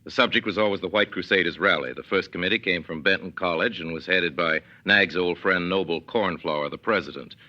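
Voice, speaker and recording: A man narrating a scripted 1940s radio play with measured, wry authority. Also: deep voice. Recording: dull 1940s radio recording.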